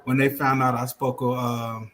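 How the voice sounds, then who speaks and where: A man's voice: medium pitch, level tone, moderate pace, a bit bright, a little hiss or hum. A man hosting a casual livestream chat, telling stories in a laid-back, joking way. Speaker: livestream host.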